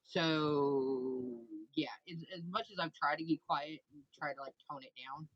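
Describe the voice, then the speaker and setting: A man co-hosting a casual livestream, projecting his voice and talking at length when excited. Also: a little slow, lo-fi sound. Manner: says he projects, bassy and animated when excited. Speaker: stream co-host. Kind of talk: casual group chat.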